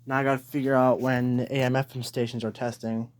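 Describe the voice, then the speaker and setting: A man's voice: mid-range voice, flat delivery, a little fast. A man chatting casually as he hosts a hobby video, informal and hesitant, thinking aloud. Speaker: hobbyist video host showing a radio collection. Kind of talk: casual vlog chat.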